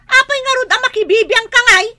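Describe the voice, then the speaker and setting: A woman playing a hot-tempered, defiant mother in a radio drama, with a sharp, demanding way of talking. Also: very high-pitched, fairly quick, slight background noise, heavily acted. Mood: angry, confrontational. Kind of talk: Ilocano radio drama acting.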